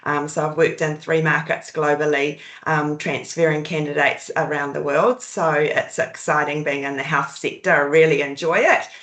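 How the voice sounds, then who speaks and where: A woman's voice: deep voice, steady tone, normal speed, hardly any pauses, fairly bright tone, echoey room. A woman with a New Zealand accent, introducing herself as a recruitment consultant in an easygoing, hesitant way with many ums over a video call. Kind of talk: casual webinar self-introduction.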